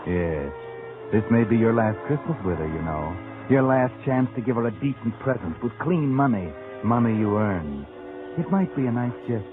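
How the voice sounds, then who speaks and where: An actor playing a sardonic, mocking narrator who needles like a conscience in a 1940s radio suspense show. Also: male voice, medium pitch, close to an everyday voice. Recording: narrow-band old radio recording with music.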